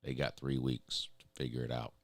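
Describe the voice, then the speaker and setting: A man with a drawl and a very deep voice, worn raspy and hoarse. He rants bluntly and swears freely as a show host. The sound is dry and close. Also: level tone, moderate pace, dry recording. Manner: blunt and profane, ranting. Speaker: show host reading chat. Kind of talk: casual sports rant.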